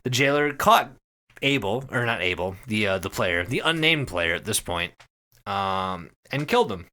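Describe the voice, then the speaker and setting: Male podcast storyteller, slightly low and very animated, casual, sweary, punches his phrases. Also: normal speed, a little hiss or hum. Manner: profane, emphatic, repeats phrases for effect. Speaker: podcast host telling a story to co-hosts.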